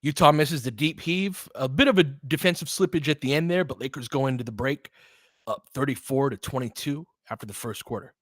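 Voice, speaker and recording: A man calling basketball play-by-play with quick, excitable calls and chatty asides, on a close mic at home. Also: medium pitch, dry recording. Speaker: play-by-play commentator. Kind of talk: fan-made basketball play-by-play commentary.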